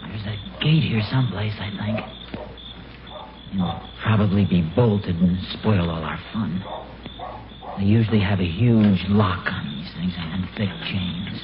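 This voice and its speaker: An American actor playing a timid, bumbling suburban husband who hesitates and stammers in an old-time radio comedy. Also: male voice, sits low, a little slow, background noise. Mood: nervous, bluffing. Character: timid, bumbling suburban husband and father hiding his fear. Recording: muffled old radio recording with music.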